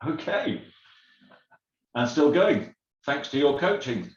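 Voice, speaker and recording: A man's voice: fairly high pitch, very animated, slow pace. A man hosting a casual video call, asking curious questions between short acknowledgements. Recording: echoey dull video call audio.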